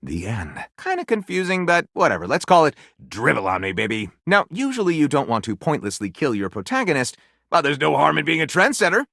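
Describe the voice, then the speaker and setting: Theatrical storyteller with swooping delivery. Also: male voice, a little high, fairly bright tone, dry recording, studio quality, exaggerated voice. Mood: wry, sardonic. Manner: theatrical, mock-grandiose, sardonic. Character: theatrical male storyteller, wry, gushing and snide critic. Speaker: voice actor. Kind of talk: dramatic storybook narration for a video game.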